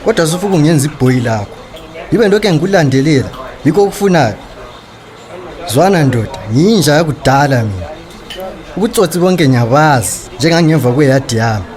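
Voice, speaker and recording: An actor playing a streetwise, sarcastic creditor in a radio drama, confrontational and taunting, with a Zimbabwean accent. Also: male voice, fairly high pitch, expressive, a little fast, a little mellow, soft background music, lightly acted. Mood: indignant, threatening. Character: streetwise, sarcastic male creditor demanding his money.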